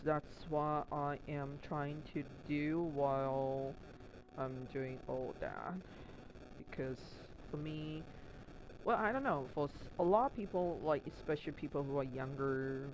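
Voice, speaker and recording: A man from Taiwan chats casually while he works on a craft project. His English carries a mixed Australian and Canadian accent, and he rambles, restarting his sentences and filling gaps with fillers. His voice sits a little high for a man, with a faint hiss of noise behind it. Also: slow pace, rough recording. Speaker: Twitch streamer.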